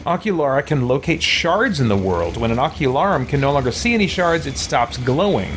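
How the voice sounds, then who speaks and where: A man's voice: mid-range voice, very animated, a little fast, a little hiss or hum. A man hosting a casual let's play, thinking aloud and exclaiming as he goes. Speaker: gaming channel host.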